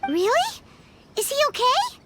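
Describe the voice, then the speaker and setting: A woman voicing a small, childlike, perky fairy companion with a squeaky voice in an anime video game. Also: huge pitch swings, a little slow, noisy background, full character voice. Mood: curious. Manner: speaks of herself in third person, exclamatory. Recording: loud game music underneath. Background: game music.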